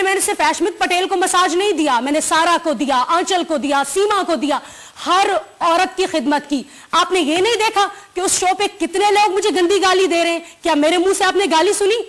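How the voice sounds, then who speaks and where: A woman's voice: very high voice, a bit bright. A woman in her twenties with a Pakistani Urdu accent, a guest in a heated TV debate, talking back indignantly and mixing in English words. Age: 20s. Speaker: actress guest.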